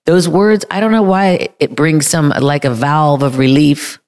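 A woman with a deep voice sharing candidly in a church group, emotional and halting, with many fillers. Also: steady tone, a little mellow. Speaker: group member.

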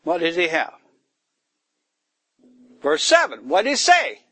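A high-pitched man preaching a sermon, his voice climbing into forceful, emphatic bursts as he quizzes the congregation. The sound is close and dry, with almost no room around it. He pushes hard on key words. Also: wildly swooping pitch, slow, no room sound. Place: church gathering in the woods.

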